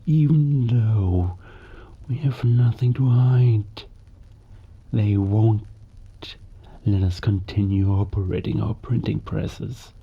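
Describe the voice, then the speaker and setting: A man's voice: fairly low pitch, husky, muffled recording, exaggerated voice. A man playing a bitter, worn-down father, harsh and resentful, in a cinematic audio drama. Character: bitter, worn-down 1930s German father and husband, harsh-tempered.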